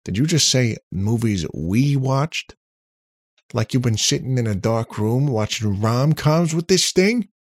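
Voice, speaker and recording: A man's voice: mid-range voice, expressive, very slow, long pauses, bright, forceful tone, no room sound, clean studio sound, pitched above the performer's own voice, exaggerated voice. A man voicing an exasperated, sarcastic, hot-tempered man in a story, with an incredulous, mocking delivery.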